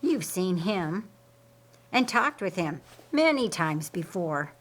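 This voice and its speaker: A woman narrator voicing a teasing, reassuring brother in a Bible story, with wry, measured delivery. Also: on the low side, very animated, exaggerated voice.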